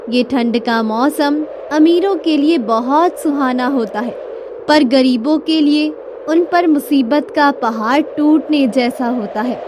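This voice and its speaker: A woman narrating a scripted cartoon story in a dramatic, deliberate storytelling manner. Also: high-pitched, noisy background. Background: music.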